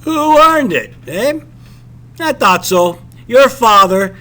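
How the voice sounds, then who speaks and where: A man voicing a domineering, scornful sea captain in a sharp, demanding, forceful manner. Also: very high voice, expressive, slow, pitched above the performer's own voice, full character voice.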